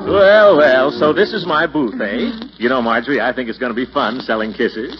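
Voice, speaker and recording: An actor playing a pompous, blustery middle-aged uncle who hems and chuckles in a 1940s radio comedy. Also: male voice, mid-range voice, moderate pace, loud music behind the voice, close to an everyday voice. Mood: jovial. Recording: muffled, noisy 1940s radio recording.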